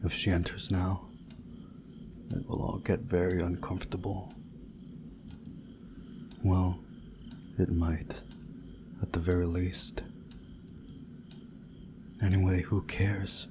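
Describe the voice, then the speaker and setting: A man reading a visual novel aloud as a playthrough host, in a measured, deliberate way with pauses. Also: low-pitched, little pitch movement, background noise. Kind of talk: scripted narration of a visual novel with commentary.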